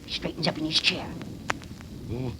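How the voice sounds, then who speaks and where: An actor playing a sinister, proud professor who casts deadly spells, speaking ominously in 1930s radio horror style. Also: male voice, a little high, lightly acted. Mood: ominous, entranced. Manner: slow, ominous, theatrical trance-like narrating. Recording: noisy 1930s radio recording.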